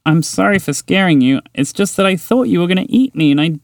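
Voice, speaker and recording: A man voicing a small, excitable, chatty mouse in a bedtime story, eager and bubbly. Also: sits high, gravelly, dry recording, studio quality, pitched above the performer's own voice. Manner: eager, bubbly, quick to laugh. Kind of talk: storybook character voice.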